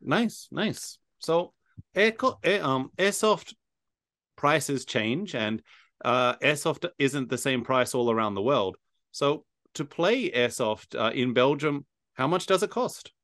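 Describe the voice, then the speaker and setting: Male host, medium pitch, casual interviewing, agreeable, encouraging, dry close sound. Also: lively intonation, slow pace, a bit bright, dry recording. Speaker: podcast host.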